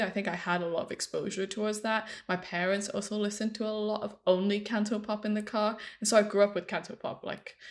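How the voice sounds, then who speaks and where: A young woman from Hong Kong in casual podcast chat, explaining her thoughts at length. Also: medium pitch. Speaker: podcast co-host. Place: London.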